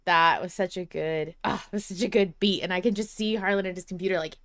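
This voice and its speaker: A woman with a fairly low voice hosts a podcast in a close, dry sound. She chats casually about fan matters and is effusive and appreciative. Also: steady tone, fast talker, dry recording. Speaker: podcast host.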